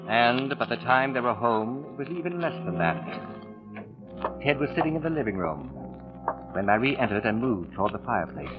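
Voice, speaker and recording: A man narrating 1940s radio suspense in a dramatic scripted style, with deliberate pauses and ominous build-up. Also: mid-range voice, big pitch swings, natural voice. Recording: dull vintage radio recording with music.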